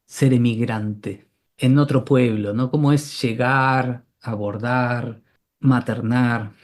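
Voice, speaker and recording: A man hosting an interview, speaking gently and appreciatively with soft backchannel sounds. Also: mid-range voice, fairly even intonation, slow. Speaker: podcast host. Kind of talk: interview conversation.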